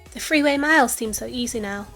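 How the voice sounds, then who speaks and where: A woman reading reflective travel prose aloud in a measured way, with soft music behind. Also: fairly high pitch. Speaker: narrator.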